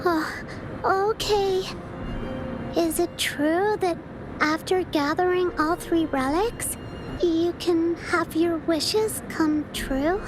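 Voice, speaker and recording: A woman's voice: very high-pitched, slow, music in the background, low-quality recording. A woman voicing a shy, wistful young girl in a video game, speaking in a hesitant, timid manner.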